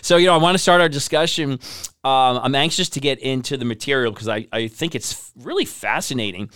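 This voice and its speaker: A man's voice: medium pitch, a little fast, a bit bright, clear, resonant tone, no room sound, clean studio sound. A man hosting an interview podcast, upbeat and engaged as he introduces and questions his guest. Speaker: podcast host. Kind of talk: hosted interview.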